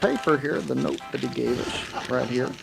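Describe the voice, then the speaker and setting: An actor playing a naive, anxious and loyal deputy in a Western radio drama, whiny and stammering, with a rural American accent. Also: male voice, mid-range voice, normal speed, creaky, over music, rough recording. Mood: eager, hesitant.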